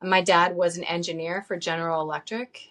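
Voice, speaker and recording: Soft-voiced woman telling her life story. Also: lowish voice, level tone, fairly quick. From upstate New York, raised in Saudi Arabia. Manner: warm, laughs often, frequent ums and restarts. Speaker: interview guest. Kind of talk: casual conversational storytelling.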